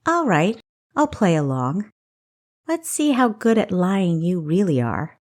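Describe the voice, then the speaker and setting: A woman's voice: on the low side, very animated, a little slow, darkish tone. A woman voicing a defiant, witty female thief in an audiobook, scoffing and playfully sarcastic. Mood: playful challenge. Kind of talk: audiobook character acting.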